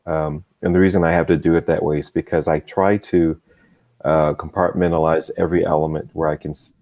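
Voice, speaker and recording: A man with a very low voice talks as a guest in a relaxed conversation. He chooses his words carefully and often repeats small words while finding his phrasing. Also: brisk. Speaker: guest, comics creator. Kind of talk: casual livestream chat.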